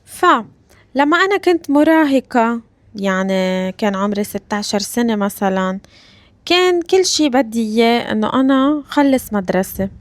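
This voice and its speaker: A woman in her thirties from Lebanon, with a Lebanese Arabic accent, hosting a language-learning podcast. She talks slowly and deliberately, repeats phrases and checks in with her listeners. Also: on the high side, expressive, no room sound. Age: 30s. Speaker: Arabic teacher and podcast host. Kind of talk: slow, clear podcast lesson for learners.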